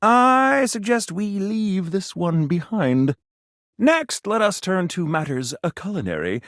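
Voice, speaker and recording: Game casting: flowery, self-important man of letters, higher-pitched, lively intonation, close dry sound. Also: takes it slowly, dry recording, heavily acted. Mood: haughty, witty. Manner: pompous, ornate diction. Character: pretentious, flowery, intellectual male writer and dandy. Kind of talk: video game character dialogue.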